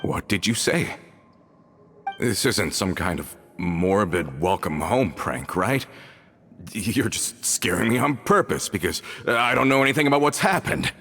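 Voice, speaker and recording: Game role: sincere gang member, low voice, incredulous and halting, light music behind. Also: male voice, expressive, a bit bright, exaggerated voice. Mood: shocked. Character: earnest adult male gang member, back from prison. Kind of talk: English video game dub.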